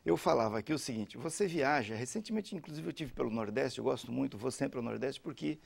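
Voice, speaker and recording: Male TV host, gravelly, anecdotal and chatty. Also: medium pitch, lively intonation, fast talker. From Curitiba, Brazil. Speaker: TV talk show host, lawyer. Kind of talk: talk show hosting. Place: TV studio.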